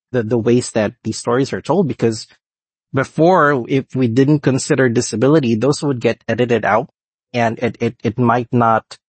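Give me a husky-voiced Filipino man in his late thirties, speaking earnestly with a dry, close sound. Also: mid-range voice, no echo. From Philippines. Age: late 30s. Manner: earnest, halting, restarts often. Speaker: guest researcher. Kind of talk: conversational interview answer.

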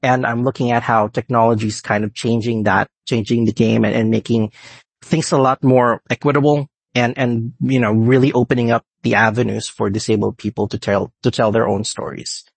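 Husky Filipino man, earnest and halting. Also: medium pitch, fairly even intonation, dry recording. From Philippines. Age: late 30s. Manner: earnest, halting, restarts often. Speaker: guest researcher. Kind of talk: conversational interview answer.

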